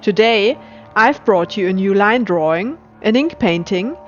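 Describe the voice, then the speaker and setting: A woman reading a scripted spooky story as narrator, in a measured, atmospheric storytelling manner. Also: medium pitch, expressive, muffled recording. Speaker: storyteller and channel host. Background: music.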